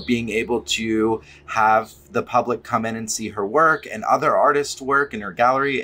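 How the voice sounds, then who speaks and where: A man's voice: lowish voice, a bit bright. A man in his thirties hosting an interview over a video call from a garden, earnest and supportive, with "you know" fillers. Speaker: interview host. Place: outdoors in a garden.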